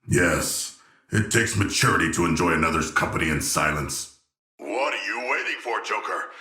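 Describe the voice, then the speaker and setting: A man's voice: deep voice, sharp tone, roomy sound, full character voice. A man voicing a hulking, earnest, literal-minded shark warrior in a video game, speaking in formal, grandiose, archaic phrases. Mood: earnest, musing. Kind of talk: video game character dialogue.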